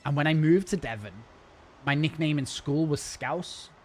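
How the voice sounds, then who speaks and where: A man's voice: a little high, a little mellow. A man chatting casually and rambling while live-streaming a game from home. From north of England. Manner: chatty, rambling, fast-talking. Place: home gaming setup.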